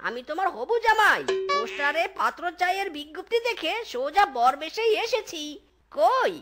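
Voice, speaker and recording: Woman voicing a squeaky, boastful young male cartoon fox. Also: very animated, normal speed, over loud music. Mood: gleeful, boastful. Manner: whiny, exclamatory, swaggering. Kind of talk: exaggerated Bangla cartoon acting.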